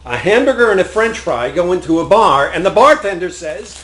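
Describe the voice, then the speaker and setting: A man acts out a wisecracking middle-aged husband in a stage comedy. His high voice swings around as he complains theatrically, and it rings in an echoey room. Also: expressive, fairly quick, fairly bright tone. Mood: jokey. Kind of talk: live stage comedy acting. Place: theatre stage, live performance.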